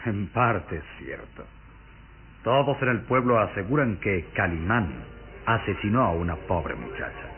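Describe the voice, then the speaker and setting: Through a thin, band-limited radio recording comes a sinister male count. His low voice swings expressively, suave and hypnotic, commanding and coldly courteous in classic radio-play manner. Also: expressive. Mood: suave, guarded. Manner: suave, menacing, theatrical, measured. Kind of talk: radio drama acting. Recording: tinny lo-fi vintage radio recording with music.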